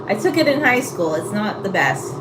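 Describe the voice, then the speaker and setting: A woman's voice: medium pitch, sing-song, moderate pace. Woman chatting casually in a noisy, echoey room. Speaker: live-streamer chatting with viewers. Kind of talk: casual rambling chat. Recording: echoey room, distant mic, loud background noise.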